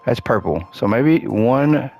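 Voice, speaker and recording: A man's voice: medium pitch, expressive, slow pace, creaky, highs cut off, faint music behind. A man hosting a gaming channel, chatting casually while he plays, his voice cracking and worn as he loses it. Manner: chatty, upbeat, thinks aloud while playing. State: losing his voice. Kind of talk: casual gameplay commentary.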